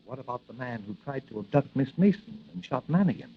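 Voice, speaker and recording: An actor playing a thoughtful, wise confidant in 1940s radio drama style. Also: male voice, mid-range voice, lively intonation, quick pace, vocal fry, slight background noise. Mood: probing, thoughtful. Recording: muffled old radio recording.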